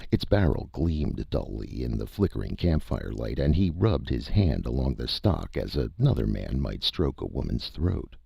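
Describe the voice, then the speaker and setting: A man's voice: very deep voice, sing-song, normal speed, gravelly, hardly any pauses, mellow, husky, no echo, highs cut off. A man narrating a scripted story as a dramatic audiobook reader.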